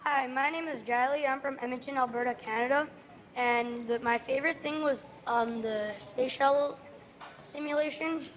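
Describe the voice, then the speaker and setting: A high-pitched woman speaks from a distance, slowly reading out her question from the audience. The audio is muffled, with faint ambience around her. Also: fairly even intonation, slight background noise.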